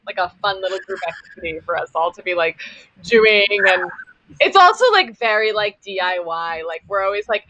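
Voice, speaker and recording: Bright-voiced chatty woman, close and dry. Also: mid-range voice, animated, moderate pace, dry recording, rough recording. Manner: chatty, informal, loosely strung phrases. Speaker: voice actor on the show. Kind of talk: casual chat.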